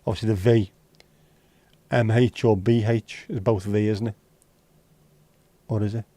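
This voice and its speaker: A man's voice: lowish voice, fairly even intonation, unhurried, warm tone, dry recording. A man hosting a casual livestream, reading out chat messages and teasing viewers with jokey, lightly sweary banter. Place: home livestream setup.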